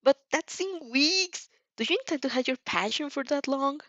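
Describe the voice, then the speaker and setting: A woman's voice: sits high, animated, normal speed, a bit bright, no room sound, muffled recording, pitched above the performer's own voice. A woman voicing a passionate, earnest teenage hero student, a boy who speaks in emphatic exclamations in an amateur audio drama. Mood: surprised.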